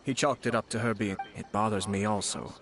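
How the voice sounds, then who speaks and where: An actor playing a polite, shrewd young nobleman in a video game, speaking in a measured, formal way. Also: on the low side, fairly even intonation, lightly acted. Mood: thoughtful. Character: courteous young nobleman, composed, shrewd clan head. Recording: music behind the voice. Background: game music.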